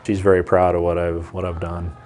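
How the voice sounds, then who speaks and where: Very low-voiced man; reflective adult student and manager in a documentary interview, who lets his sentences fade out. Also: monotone, a little fast, darkish tone, soft background music.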